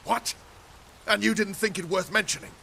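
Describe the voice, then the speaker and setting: An actor playing a gruff, decisive soldier and loyal patriot in a fantasy video game, speaking emphatically. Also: male voice, high-pitched, moderate pace, sharp tone, slight background noise, heavily acted. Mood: incredulous. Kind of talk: dramatic video game voice acting.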